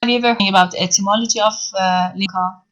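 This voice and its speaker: A woman whose first language is Persian, giving an online lecture in English, halting and filling pauses often as she explains step by step. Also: medium pitch, steady tone, a bit bright. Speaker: university lecturer.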